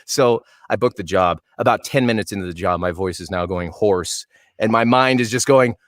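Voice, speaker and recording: A middle-aged man, a voice actor, chatting as an interview guest and telling stories with animated enthusiasm over a video call microphone. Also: on the low side, normal speed, no echo, clean studio sound. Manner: animated, enthusiastic storytelling with frequent restarts. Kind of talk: casual interview chat.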